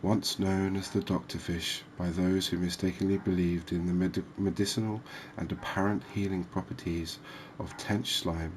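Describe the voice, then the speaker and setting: A man giving soft-spoken ASMR game commentary as the host, in a gentle, unhurried, hesitant way. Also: sits low, level tone, a little hiss or hum, low-quality recording. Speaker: gaming video host.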